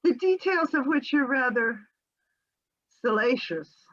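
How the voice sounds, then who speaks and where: A woman's voice: sits high, lively intonation, slow, a bit bright, lo-fi sound, full character voice. A woman reading the part of an evasive, chattery housewife in a comic scene, with a quavering, giggly delivery over a home video call. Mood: airy. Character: flighty, evasive middle-aged housewife, breezily unconcerned. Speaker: reader in an amateur readers theater performance. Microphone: home video call mic.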